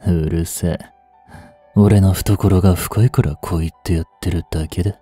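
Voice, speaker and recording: An actor playing a rough, cocky mafia boss with hidden tenderness in an intimate audio drama, gruff and teasing in a drawl. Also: male voice, deep voice, steady tone, normal speed, warm tone, dry recording. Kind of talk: intimate Japanese-style dramatic voice acting aimed at women listeners. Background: music.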